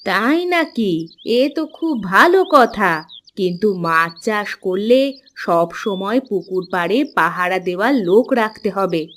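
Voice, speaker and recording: A woman's voice: mid-range voice, animated, takes it slowly, a bit bright. A woman playing a caring, inquisitive middle-aged village mother in a cartoon folk tale. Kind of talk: Bengali animated folk-tale dialogue. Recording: clear background noise, chirping birds.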